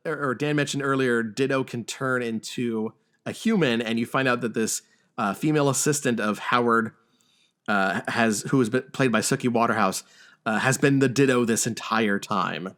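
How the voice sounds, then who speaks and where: A man hosting a podcast, talking casually through a film's plot with a halting, stammering delivery. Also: mid-range voice, fairly bright tone. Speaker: podcast host. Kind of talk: casual film discussion and plot recap.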